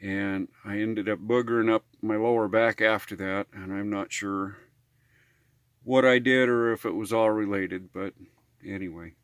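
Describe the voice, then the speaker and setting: A man's voice: lowish voice, steady tone, measured pace, clean tone, dry recording. Folksy American man rambling casually, dry recording. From United States. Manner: folksy, rambling, homespun slang. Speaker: vlogger on RV living and repairs. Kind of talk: casual unscripted vlog talk.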